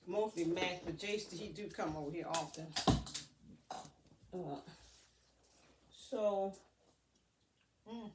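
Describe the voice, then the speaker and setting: A woman's voice: lowish voice, takes it slowly, pauses often. A woman vlogger chatting casually to viewers in a rambling, folksy way, often thinking aloud. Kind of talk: casual unboxing vlog chat to viewers. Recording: roomy, distant mic, faint noise.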